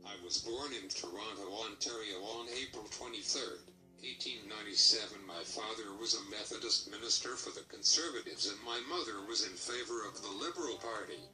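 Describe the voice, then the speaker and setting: A man's voice: lowish voice, a little fast, natural voice. A man playing a proud Canadian statesman, reading a formal scripted first-person life story. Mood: measured, proud. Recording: distant mic, music behind.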